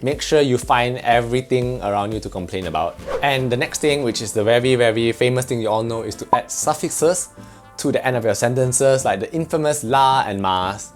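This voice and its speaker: A man from Malaysia with a Malaysian English accent, explaining things in a chatty, joking vlog. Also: medium pitch. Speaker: vlogger.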